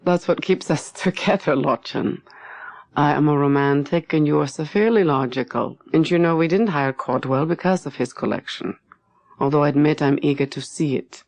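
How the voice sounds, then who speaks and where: A woman's voice: sits low, moderate pace, a little mellow, no echo. A woman narrator voicing a romantic, playful older man, a hospital director, in an audiobook story. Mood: fond, placating.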